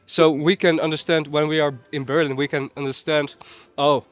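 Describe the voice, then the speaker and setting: A man's voice: fairly high pitch, flat delivery, normal speed, faint music behind. Earnest man from the Netherlands. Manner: earnest, explaining with restarts. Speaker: street interviewee. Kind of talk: casual street interview answer.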